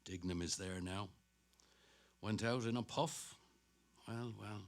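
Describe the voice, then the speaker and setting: A man's voice: on the low side, takes it slowly. An actor playing a vain, dapper middle-aged salesman who chatters to himself in a dramatic stage reading. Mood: musing. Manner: self-satisfied, muttering to himself between hearty greetings.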